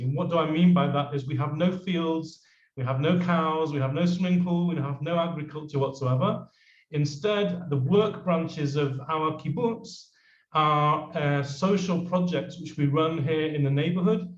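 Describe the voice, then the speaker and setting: A man's voice: on the high side, a little mellow, muffled recording. English man speaking over a roomy video call. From England. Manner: halting, many fillers and restarts. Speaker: guest speaker. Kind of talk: casual presentation on a video call.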